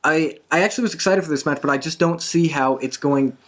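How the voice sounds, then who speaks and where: A man's voice: on the high side, fast. A man hosting a wrestling predictions podcast in a casual panel discussion.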